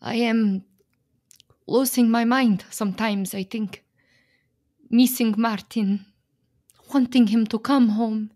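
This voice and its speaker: An actress playing a proud, fearful Slovak immigrant wife and mother in a radio play, speaking with a Slovak accent and formal, halting phrasing. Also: a little high, fairly even intonation, warm tone. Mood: subdued, sorrowful. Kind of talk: dramatic radio play acting.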